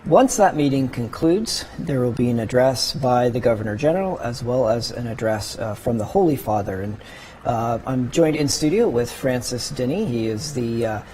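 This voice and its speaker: A man anchoring live news coverage from a studio as host, commenting in a hesitant, halting way. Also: medium pitch, animated, dark tone. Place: broadcast studio. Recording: clear background noise.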